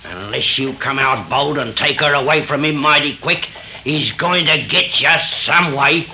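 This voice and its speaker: A man's voice: fairly high pitch, measured pace, bright, forceful tone, heavily acted. A man playing a blunt, prejudiced frontier Westerner with folksy slang in a 1930s radio drama. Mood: blunt, warning. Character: blunt, bigoted 1850s California frontier man. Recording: noisy 1930s radio recording.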